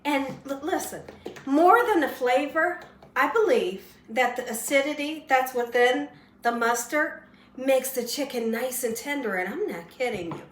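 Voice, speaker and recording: A slightly hoarse woman with a fairly high voice walks viewers through a recipe in a chatty, folksy way, often checking in with "right?". She sounds a little away from the mic in an echoey kitchen, with faint background hiss. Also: lively intonation, a little slow, far from the mic. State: slightly hoarse, losing her voice. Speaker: cooking show host. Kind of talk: casual cooking demonstration. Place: home kitchen.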